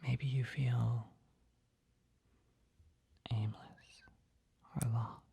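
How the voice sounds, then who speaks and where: A young man playing a shy, skittish, kindly potion shopkeeper in an ASMR roleplay, whispering gently and hesitantly close to the microphone. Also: mid-range voice, flat delivery, drawn-out words, full character voice. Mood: gentle, guiding. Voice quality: breathy, whispery. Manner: hesitant, gentle, soft-spoken.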